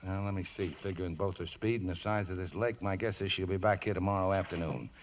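An actor playing a rough-spoken, warm-hearted boatman with folksy, affectionate speech in a 1950s radio drama. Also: low voice, steady tone, quick pace, lightly acted. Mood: matter-of-fact. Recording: muffled, noisy old radio broadcast recording.

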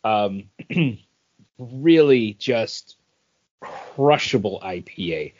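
A man's voice: on the low side, expressive, extremely slow, darkish tone, highs cut off. A man co-hosting a podcast in casual chat, loosely searching for words as he goes. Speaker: podcast co-host.

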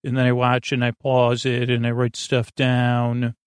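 A man hosting a bedtime podcast, rambling in a halting, meandering way and often trailing off mid-thought. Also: medium pitch, completely flat delivery, no room sound, studio quality. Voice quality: creaky, gravelly. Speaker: podcast host.

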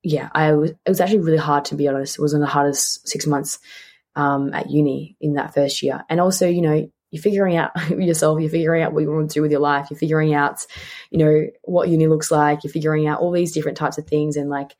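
Low-pitched young Australian woman, chatty. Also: fairly even intonation, fast, darkish tone. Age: 20s. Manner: candid, chatty, emphatic repetition of words. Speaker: podcast host. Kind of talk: casual solo podcast chat.

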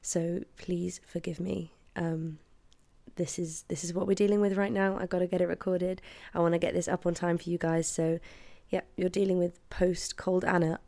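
A woman in her twenties with a hoarse voice from a cold, chatting casually and apologetically as she hosts her podcast from her flat. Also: lowish voice, normal speed. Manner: chatty and apologetic, with frequent laughs. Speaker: podcast host. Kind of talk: casual solo chat. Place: flat at home, late at night. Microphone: headphones and podcast mic.

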